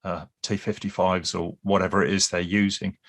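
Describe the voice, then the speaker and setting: A man's voice: deep voice, little pitch movement, normal speed, a bit bright. A man giving an expert, explanatory take in a casual podcast chat, with frequent restarts and dry humour. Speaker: guest expert on Cold War British Army.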